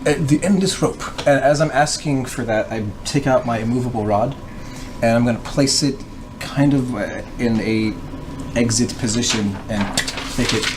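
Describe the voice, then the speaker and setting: A man's voice: medium pitch, normal speed. A man improvising his moves casually as a player in a tabletop game. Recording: noisy room with group ambience.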